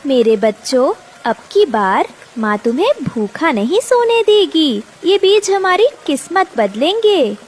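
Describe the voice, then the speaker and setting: A woman's voice: high voice, sing-song, unhurried. A woman voicing a poor, devoted mother bird in a children's cartoon, earnest and plaintive. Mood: hopeful, tender.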